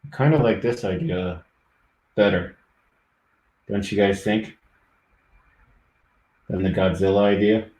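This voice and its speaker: A man's voice: sits low, slow, pauses often, darkish tone. Chatty middle-aged man, echoey room. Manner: rambling, chatty, talks to viewers. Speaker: live streamer. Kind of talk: casual live stream chat.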